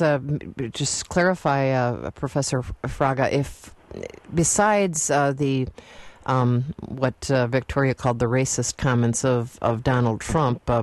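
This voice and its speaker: A man hosting a radio call-in show, with a hesitant, halting way of putting questions, close on a studio microphone. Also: medium pitch.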